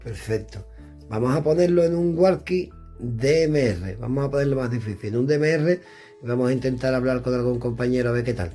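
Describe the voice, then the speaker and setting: A middle-aged man talks in a relaxed, dark-toned voice at a middle pitch. He chats and thinks aloud while fiddling with radio equipment indoors. Faint music sits in the background. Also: a little fast. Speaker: radio gear reviewer. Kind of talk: casual product demonstration.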